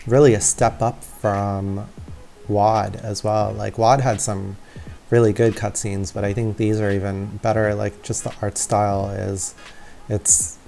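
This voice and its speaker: A man with a low, creaky voice gives casual game walkthrough commentary. He talks very slowly, with faint music behind him. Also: dark tone. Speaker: gaming video host.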